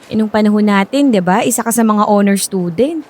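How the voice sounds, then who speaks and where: An actress with a Filipino accent playing a supportive, chatty former classmate in a radio drama, coaxing and reassuring. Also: female voice, medium pitch, brisk, darkish tone, lightly acted. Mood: curious, sympathetic. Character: encouraging, chatty former classmate, a woman working at a school.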